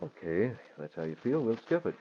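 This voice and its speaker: An actor in an old-time radio drama playing a cool, wry masked vigilante with a casual, clipped manner. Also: male voice, fairly low pitch, very animated, brisk. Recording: dull, noisy old radio recording.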